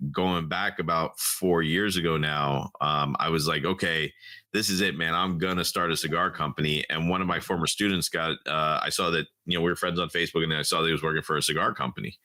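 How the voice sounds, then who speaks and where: A middle-aged man, a podcast guest, telling stories in a quick, chatty way with many fillers. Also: deep voice, sharp tone, no room sound. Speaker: podcast guest, cigar brand founder. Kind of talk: casual chat.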